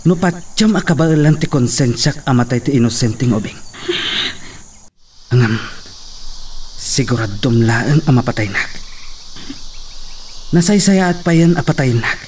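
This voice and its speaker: An actor plays a scheming, guilt-ridden man in an Ilocano radio drama, theatrical and intense. His accent is Ilocano and his pitch is average. He sounds slightly away from the mic, with faint insect noise around him. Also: expressive, measured pace, long pauses, darkish tone, far from the mic, natural voice. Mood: defiant.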